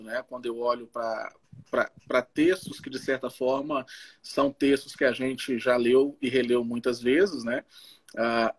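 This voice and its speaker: Husky guy, pastor talking casually on a live stream, stops and restarts a lot. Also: mid-range voice. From Belo Horizonte, Brazil. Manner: halting, frequent tag questions to the listener. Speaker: missionary pastor and guest. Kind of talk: informal testimony and Bible teaching. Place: live social media video call.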